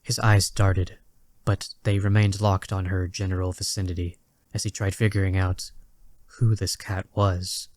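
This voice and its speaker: A man narrating a scripted dramatic story in a measured, literary storytelling manner. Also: deep voice, level tone, darkish tone.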